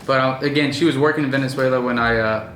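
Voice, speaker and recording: A man's voice: mid-range voice, quick pace, fairly bright tone, echoey room, slight background noise. Rambling young man hosting a vlog. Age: 20s. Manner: rambling, many fillers and restarts. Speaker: vlog host and business owner. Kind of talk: casual chat. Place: indoors at night.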